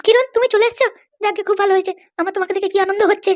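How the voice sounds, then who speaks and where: A woman's voice: very high-pitched, fairly even intonation, rapid-fire. A woman voicing a sulky, lovestruck young bride in a comedy cartoon, gushing and pouting. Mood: delighted.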